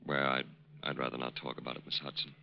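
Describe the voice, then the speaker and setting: An actor playing a middle-aged con artist posing as a modest war-hero major, halting and wooing, in 1940s radio drama style. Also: male voice, extremely low pitch, completely flat delivery, creaky. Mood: falsely modest. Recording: dull, noisy old radio recording.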